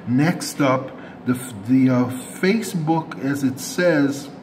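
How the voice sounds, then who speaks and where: A man hosting a community news channel, giving unscripted commentary to subscribers in a rambling way, often correcting himself with rough approximations. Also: medium pitch, slow pace, darkish tone. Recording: clear background noise, ambient room sound.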